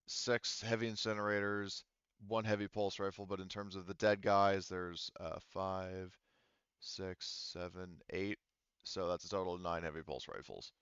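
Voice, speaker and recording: A man acting as game master, narrating a tabletop roleplaying session casually and haltingly, thinking aloud, over slightly lo-fi voice chat audio. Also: lowish voice, a bit bright, clean tone, dry recording.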